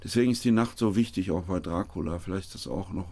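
A man's voice: low voice, fast talker, dark tone. A man being interviewed, talking reflectively in unscripted speech.

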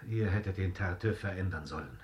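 A careful sensible counsellor, lowish, warning earnestly, radio drama. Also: male voice, lively intonation, brisk, dull audio. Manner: earnest, admonishing. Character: sober, cautious male actor advising his troupe leader.

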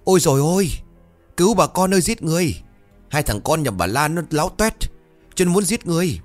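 Audio-story role: older village woman, sharp-tongued, ringing and accusatory, raised and swooping pitch, music underneath male narrator acts her out. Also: very animated, brisk. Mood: panicked screaming. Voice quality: loud, ringing. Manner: wailing, accusatory. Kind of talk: dramatic audio-story dialogue.

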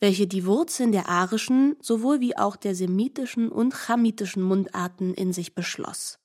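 A woman reading scripted literary narration for radio in a measured, explanatory way. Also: medium pitch, a little mellow.

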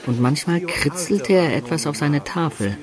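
A man's voice: on the high side, expressive, moderate pace, a crowd in the background, lightly acted. An actor playing a medieval adventurer who recounts his student youth with wry, ornate storytelling in a literary radio drama. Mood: amused, recounting. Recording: studio radio drama with music beds.

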